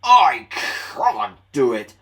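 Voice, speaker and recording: A big, boastful steam engine from a storybook, acted out by a man. The voice booms slowly and pompously, swinging widely in pitch as it brags and grumbles. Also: very animated, fairly bright tone, exaggerated voice. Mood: straining, grumbling. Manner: pompous, booming, drawn-out delivery.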